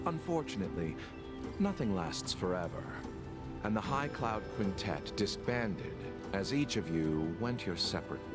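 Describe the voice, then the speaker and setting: A deliberate, perceptive young man. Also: on the low side. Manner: slow, deliberate, knowing. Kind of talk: game voice acting. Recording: loud game music behind.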